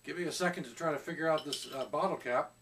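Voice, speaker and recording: A middle-aged man talks casually while reviewing a beer, hesitating often as he searches for words. His voice sits slightly high for a man. He is recorded in a small room at home by a camera a little way off. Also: quick pace, recorded from a distance, background noise. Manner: chatty, hesitant with many fillers.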